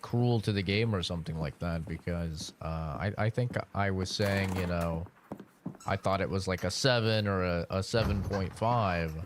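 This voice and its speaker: A man streaming a game, talking casually with a raspy, deeper-than-usual morning voice, rambling with lots of "like" and "man". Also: slight background noise. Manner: rambling, filler-heavy, says "like" and "man" often. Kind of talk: casual gameplay livestream commentary.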